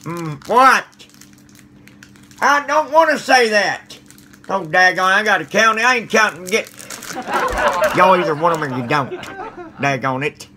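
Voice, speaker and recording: A man's voice: high voice, animated, a little slow, pauses often, pushed and bright, slight background noise, heavily acted. A man playing a cranky, folksy old man who stammers and mutters mild curses at his viewers. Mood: grumbling. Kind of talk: comedic character monologue to viewers.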